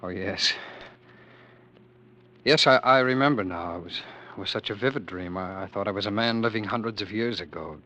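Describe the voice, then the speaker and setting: An actor in a vintage radio drama, playing a haunted man who once ruled the world, who narrates his dream with earnest, halting intensity. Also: on the low side, bright, forceful tone. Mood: tender. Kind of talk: 1940s radio acting. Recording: dull old radio broadcast.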